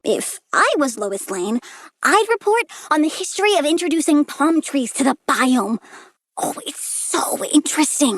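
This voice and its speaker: A young girl villainess from a video game, very high in pitch and animated. She is eager and morbid, with a petulant, sassy edge. The recording is close and dry. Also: no room sound, exaggerated voice. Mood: eager, chatty. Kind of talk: video game character voice acting.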